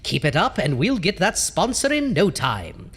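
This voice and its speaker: An actor playing a vain, theatrical ghoulish horror host in a campy radio show, full of pompous punning patter. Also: male voice, high voice, very animated, normal speed, fairly bright tone, breathy edge, exaggerated voice. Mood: mock grand.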